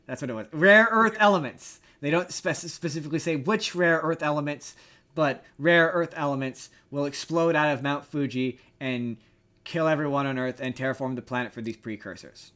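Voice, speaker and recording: A man's voice: a little high, sharp tone. Casual man rambling through a movie chat. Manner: informal, slangy, halting and rambling explanation with repeated words. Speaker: podcast co-host.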